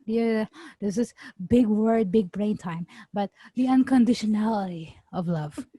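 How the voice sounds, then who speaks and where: A young woman with a Filipino accent co-hosting a podcast, playful, searching for words and slowing down to stress a point. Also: medium pitch, mellow, slightly rough, no room sound. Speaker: podcast co-host. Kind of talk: casual chat.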